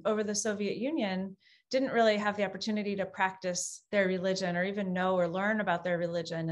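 Thoughtful woman guest, many pauses. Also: medium pitch. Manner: thoughtful, hesitant with many fillers. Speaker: guest speaker, researcher. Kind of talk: webinar interview answers.

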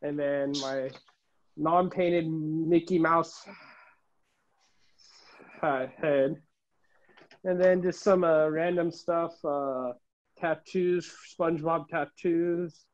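Halting, slow-paced man showing a collection. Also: on the high side, fairly even intonation. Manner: halting, listing items one by one. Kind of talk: casual show-and-tell tour. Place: room at home, indoors. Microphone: handheld phone. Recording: dull, muffled phone audio.

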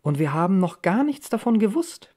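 A woman's voice: mid-range voice, sing-song, normal speed, dark tone, voice pushed up. A woman reading an audiobook in the role of an eager, chatty young woman full of village gossip. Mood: excited gossip. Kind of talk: audiobook character dialogue.

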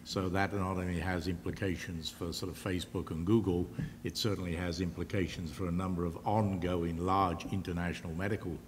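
Older guy on a panel, really low voice, formal wordy answers, hall echo and a bit of hiss. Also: steady tone. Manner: measured, formal, elaborate vocabulary. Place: large auditorium stage. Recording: reverberant hall, faint noise.

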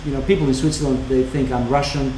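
A man in his fifties with a heavy former Yugoslav accent, telling animated stories in a casual chat as a watchmaker and co-host. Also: medium pitch, fast talker. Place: indoor watchmaking workshop. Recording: roomy workshop with faint hum.

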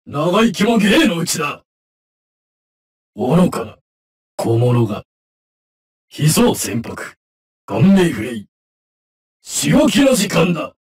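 A man's voice: fairly high pitch, sing-song, slow pace, long pauses, recorded from a distance. A man voicing a stern, scornful teddy bear companion in an anime fighting game, with haughty, archaic, declamatory delivery.

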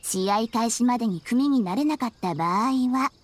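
A woman's voice: fairly high pitch, lively intonation, moderate pace, dry recording. A woman voicing a cryptic young shrine maiden in a video game, polite, mysterious and playfully ominous. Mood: polite, instructive. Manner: polite, mysterious, theatrically foreboding. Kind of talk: Japanese video game voice acting.

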